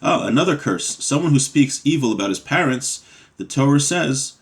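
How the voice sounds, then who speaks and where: A man's voice: medium pitch, lively intonation, moderate pace. A man teaching a class on a religious text, reading passages aloud and then explaining them plainly, with some ums and restarts.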